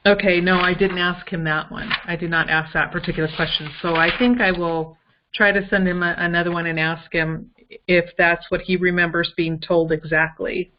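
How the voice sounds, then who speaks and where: A woman co-hosting a casual case discussion, thinking aloud in a halting way on muffled call audio. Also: on the low side, steady tone, a little hiss or hum.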